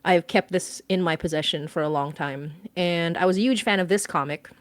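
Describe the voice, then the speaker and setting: A woman talking loosely on a livestream chat, her speech full of fillers and bursts of laughter. Her voice sits a little low for a woman. The sound is dry and close. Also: brisk, no echo. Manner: laughing, animated, lots of fillers. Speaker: podcast host and guest writer. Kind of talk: casual stream chat.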